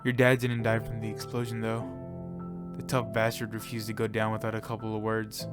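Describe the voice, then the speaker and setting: A man's voice: on the low side, completely flat delivery, fairly quick, natural voice. A man playing a brash reckless fighter. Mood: knowing. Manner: brash, eager. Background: music.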